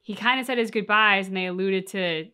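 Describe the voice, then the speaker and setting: A woman with a bright, forward voice at medium pitch comments casually as co-host. She reasons aloud in a measured, conversational way. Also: clear, resonant tone. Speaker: reaction video co-host.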